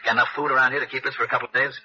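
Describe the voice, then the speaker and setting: Tough, blunt radio investigator. Rapid, very forceful delivery, commanding and clipped, 1960s detective drama. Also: male voice, mid-range voice, little pitch movement, slight background noise. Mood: businesslike. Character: tough, hard-boiled freelance insurance investigator, commanding. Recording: dull old radio broadcast, telephone-like.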